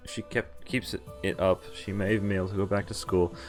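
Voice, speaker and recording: Low-voiced man reading a game aloud. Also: flat delivery, soft background music. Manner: halting, often pausing to mutter asides. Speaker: game streamer. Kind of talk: visual novel read-aloud with casual commentary.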